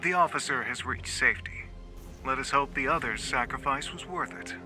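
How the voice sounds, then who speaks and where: An actor voicing a cool, cynical military intelligence superior in a video game, measured, dry and detached. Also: male voice, medium pitch, music in the background, lightly acted. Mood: coolly instructing. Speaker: mission commander. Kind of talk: video game cutscene acting.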